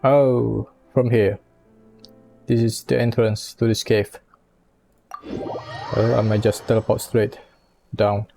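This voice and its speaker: Streamer reacting to a game, slow casual talk, teasing, mid pitch, faint music behind. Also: level tone, vocal fry, dark tone. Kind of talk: casual let's-play commentary and dialogue reactions.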